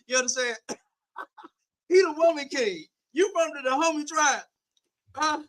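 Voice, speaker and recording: A man arguing loudly in a heated panel debate, mocking and talking over the other side. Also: very high voice, a little slow, no room sound.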